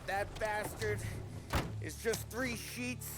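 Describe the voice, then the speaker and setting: A man's voice: high-pitched, steady tone, slow pace. An actor playing a brash, joking male mobster who teases crudely and laughs often, in a video game cutscene. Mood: ribbing. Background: score music.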